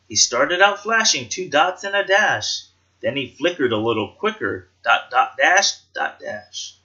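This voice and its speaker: A man reading a rhyming children's picture book aloud with an expressive, sing-song rhythmic delivery. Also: fairly high pitch, muffled recording.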